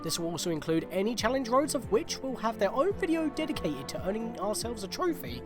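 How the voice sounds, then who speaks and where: A man's voice: high voice, sing-song, brisk, music in the background. A man giving chatty gameplay commentary as a video host, rambling and often correcting himself mid-sentence.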